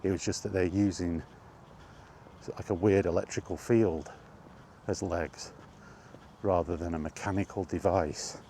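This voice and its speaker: Slow, deep-voiced man musing. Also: steady tone, warm tone, a little hiss or hum. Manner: halting, enthusiastic, many ums and restarts. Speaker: vlogger. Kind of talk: casual rambling monologue. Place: outdoors, walking.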